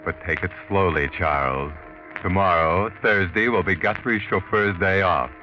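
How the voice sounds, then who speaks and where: An actor playing a sinister, sardonic mystery narrator, speaking deliberately and tauntingly in 1940s radio style. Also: male voice, deep voice, background noise, lightly acted. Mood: ominous, taunting. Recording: muffled vintage radio recording.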